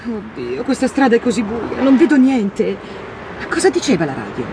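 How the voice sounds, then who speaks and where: A woman's voice: on the high side, very animated, moderate pace. An actress playing a worried, guilt-ridden mother in an audio drama, fretful and doubtful. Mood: uneasy. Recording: loud car and traffic noise.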